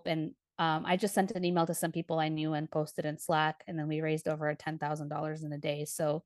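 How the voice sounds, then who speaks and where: Woman from Ukraine, podcast panelist; lowish, animated, filler-heavy delivery; dry booth sound. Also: a little fast, no room sound. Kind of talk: casual podcast chat.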